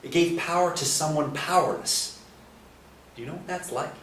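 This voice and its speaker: A man's voice: a little high, moderate pace, fairly bright tone, lightly acted. A man playing a disillusioned con artist who posed as a priest, in a staged play reading. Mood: defiant confession. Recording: roomy, distant mic, faint noise.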